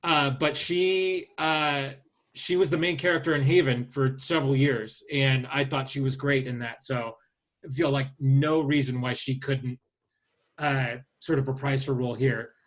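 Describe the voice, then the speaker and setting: A man co-hosting a casual podcast, speaking in a halting, hesitant way. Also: medium pitch, steady tone. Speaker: podcast co-host.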